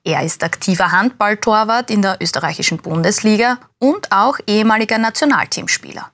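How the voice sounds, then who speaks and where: A woman's voice: medium pitch, brisk, a bit bright. Female news presenter, mid-range voice, polished and businesslike while interviewing in a studio. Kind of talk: broadcast interview.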